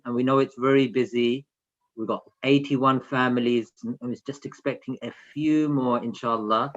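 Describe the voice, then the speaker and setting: A man with a mid-pitched voice hosts an online session for children. He speaks informally, addressing attendees directly with instructions and questions. The video-call sound is a little dull and lo-fi. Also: fairly bright tone.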